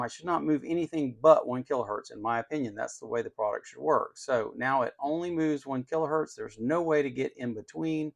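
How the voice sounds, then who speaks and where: A man's voice: medium pitch, gravelly. A man hosting an unscripted tech demonstration, explaining step by step in an opinionated way with emphasis on key words. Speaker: host of an electronics channel.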